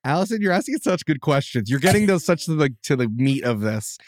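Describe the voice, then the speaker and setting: A man, a game master and podcast guest, explaining with enthusiastic, quick asides in casual chat. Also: on the high side, big pitch swings, no room sound.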